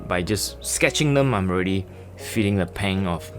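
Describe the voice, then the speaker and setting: A middle-aged man from Malaysia, talking casually through a sketching and watercolor tutorial with many fillers and pauses. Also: low voice, soft background music. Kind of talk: relaxed tutorial narration.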